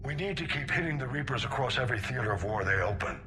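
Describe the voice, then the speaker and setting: Man playing a stern, commanding admiral over loud music. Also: mid-range voice, lively intonation, fast talker, lightly acted. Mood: resolute, commanding. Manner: commanding, urgent, direct. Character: stern, resolute senior male navy admiral. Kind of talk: video game character dialogue.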